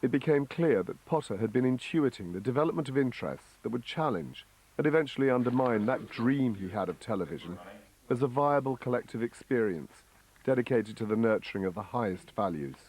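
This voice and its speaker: A man's voice: medium pitch, expressive, a little fast, a little mellow, rough recording. A man reading scripted documentary narration.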